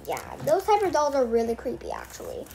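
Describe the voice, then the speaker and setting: High, animated young girl reading aloud. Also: brisk, creaky, a little hiss or hum. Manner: stumbles over words and repeats phrases. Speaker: read-aloud host. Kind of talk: reading a children's book aloud with casual asides.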